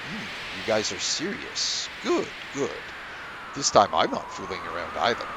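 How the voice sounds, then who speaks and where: A man's voice: lowish voice, wildly swooping pitch, background noise. A man voicing a lecherous, sleazy older crime boss who gloats and savors his words. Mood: gloating. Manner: leering, gloating, drawn-out relish.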